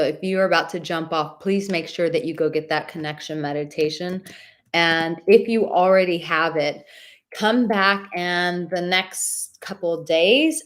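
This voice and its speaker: A woman hosting a live dating advice stream, reading viewer comments in a warm, affectionate and chatty way, with a soothing voice and many filler words. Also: lowish voice. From Czech heritage.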